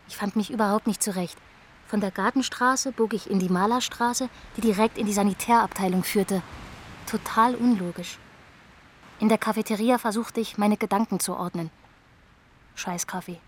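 A woman's voice: mid-range voice, a little hiss or hum, close to an everyday voice. An actress playing a troubled young woman in a radio drama, telling her story in short, fragmented, matter-of-fact sentences, like a confession. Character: troubled young woman recounting her aimless, desperate night drive.

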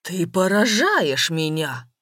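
A woman's voice: low-pitched, very animated, unhurried, dry recording, studio quality, voice pushed down, full character voice. A woman voicing an angry, jealous businessman who accuses and interrogates in an audiobook. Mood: outraged, shouting. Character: angry, bullying middle-class businessman, jealous and suspicious.

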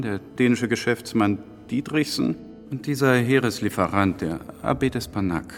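An actor playing a cold, commanding French revolutionary in a radio play, speaking in a curt, condescending, formal way. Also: male voice, mid-range voice, lightly acted. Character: cold, commanding male French revolutionary prosecuting his rivals. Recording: reverberant hall, faint music behind.

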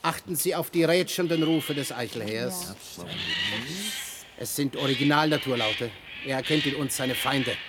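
An actor playing an officious, pedantic tour guide in a radio play, lecturing in a declamatory way and giving stern commands. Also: male voice, mid-range voice, moderate pace, a loud crowd, lightly acted. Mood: lecturing, showy.

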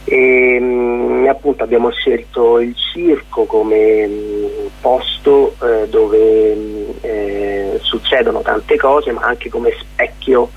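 Slow, hesitant man on a phone line. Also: mid-range voice, fairly even intonation, vocal fry, slightly rough. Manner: hesitant, halting, searching for words. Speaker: interview guest, playwright. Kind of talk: informal radio phone interview. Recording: thin, narrow telephone line on radio.

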